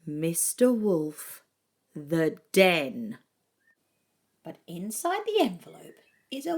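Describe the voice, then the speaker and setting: Woman doing a kids story readaloud, slow pace, super expressive up and down voice, dramatic pauses. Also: on the low side. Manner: animated, with dramatic pauses and emphasis. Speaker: storybook reader. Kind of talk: expressive children's picture book read-aloud.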